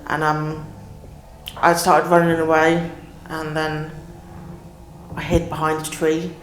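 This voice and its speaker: Low, flat-voiced woman in echoey room. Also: takes it slowly. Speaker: mental health service user interviewee. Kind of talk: casual interview recollection. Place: plain indoor room. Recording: echoey room, faint noise.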